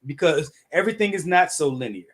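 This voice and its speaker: A man co-hosting a relationship talk show, a coach who debates his co-host and reads out viewer comments in an animated way. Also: fairly high pitch, quick pace.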